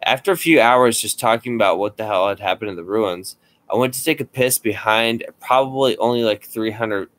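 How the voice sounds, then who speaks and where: A man's voice: on the low side, fairly quick, a bit bright. A man co-hosting a casual podcast, reading a scary story aloud with swearing and dry asides. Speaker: podcast co-host.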